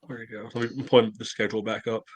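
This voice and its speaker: A man's voice: on the low side, level tone, quick pace, low-quality recording. A man co-hosting a casual sports podcast, conversational and ready to question or counter what is said. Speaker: podcast co-host.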